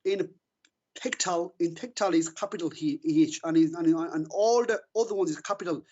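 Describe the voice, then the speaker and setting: High-voiced husky man, halting debater. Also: darkish tone, dull audio. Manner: halting, stammering, repeats words. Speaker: caller in a religious debate. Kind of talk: informal defensive debate.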